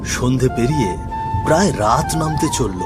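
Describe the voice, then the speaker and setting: A man's voice: medium pitch, animated, moderate pace, loud music behind the voice. A man narrating a scripted cartoon ghost story in a dramatic storytelling style.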